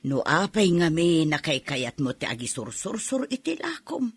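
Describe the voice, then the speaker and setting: A woman playing an older, concerned mother in a radio drama, with a husky voice and an Ilocano accent. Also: low-pitched, huge pitch swings, normal speed, no room sound, exaggerated voice. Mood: caring, concerned.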